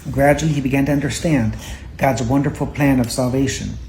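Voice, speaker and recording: Mellow bedtime storyteller, faint background noise. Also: medium pitch, steady tone, fairly quick. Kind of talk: reading a children's Bible story aloud. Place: indoors at home.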